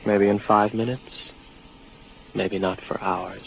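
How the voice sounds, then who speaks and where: A low, gravelly space officer, isolated and tired of his own hallucinations. He speaks with wry, deliberate weariness in the manner of 1950s radio drama, on a dull old broadcast recording with faint noise. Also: flat delivery. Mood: wry, guarded.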